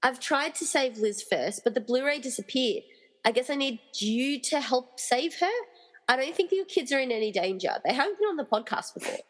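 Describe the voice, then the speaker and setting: Reluctant radio-play heroine played by a woman, sarcastic and grumbling, lively higher voice, long reverb. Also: normal speed. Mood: explaining. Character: reluctant, exasperated heroine rescuing friends trapped in movies. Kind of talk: amateur comedy radio play acting. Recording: reverberant hall-like echo.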